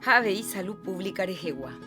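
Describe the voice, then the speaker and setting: A Paraguayan woman reads an educational radio script in a medium-pitched voice. She sounds instructive, and guitar music plays clearly behind her. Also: animated, brisk. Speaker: health programme presenter. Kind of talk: scripted educational narration in Jopara.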